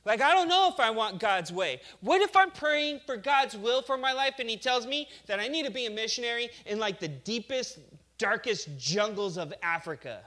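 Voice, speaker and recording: A man's voice: very high voice, lively intonation, moderate pace, sharp tone. A male pastor giving a conversational sermon, acting out the people he talks about. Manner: conversational preaching, acts out complainers.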